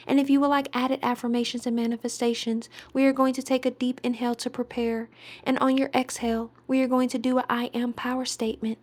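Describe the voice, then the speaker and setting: A woman leading a guided meditation in a soothing, deliberate way, with breathy, whispery word endings. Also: on the high side.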